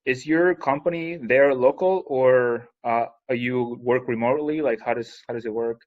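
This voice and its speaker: A man's voice: mid-range voice, narrow, tinny audio. A man from Peru in his thirties, hosting an interview in a chatty, rambling way. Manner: chatty, rambling, quick asides. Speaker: podcast host. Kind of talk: casual interview talk.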